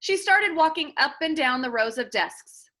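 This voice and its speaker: A woman reading a children's book aloud as an expressive narrator. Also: high-pitched, moderate pace.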